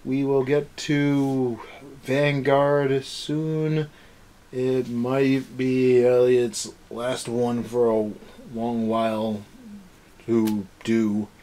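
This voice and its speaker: A man's voice: mid-range voice, level tone, extremely slow, mellow. A young man hosting a casual review chat, rambling along in an exasperated way. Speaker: review show host. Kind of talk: casual rant-style episode review chat.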